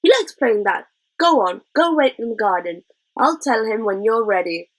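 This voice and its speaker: A woman voicing a quick-witted, cheeky boy in a storybook, brisk and chivvying. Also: a little high, lively intonation, low-quality recording. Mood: coaxing.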